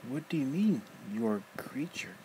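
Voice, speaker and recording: A man's voice: mid-range voice, big pitch swings, unhurried, a little mellow, a little hiss or hum, voice pushed up, low-quality recording. A man doing a sullen, wary scrawny boy. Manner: sullen, guarded.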